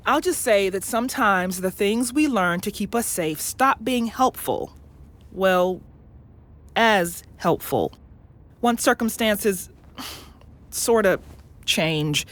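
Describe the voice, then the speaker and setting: Patient mother, naturalistic audio drama: tender, careful, slow, halting delivery, mid-range voice. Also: medium pitch. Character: gentle, patient mother explaining carefully to child.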